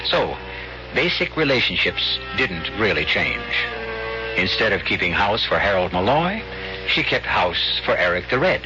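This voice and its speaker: A man narrates as host of an old-time radio drama. His fairly low voice moves expressively, with a wry, conversational theatricality aimed at the listener. The broadcast is dull-sounding, with music behind him. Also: sing-song, measured pace.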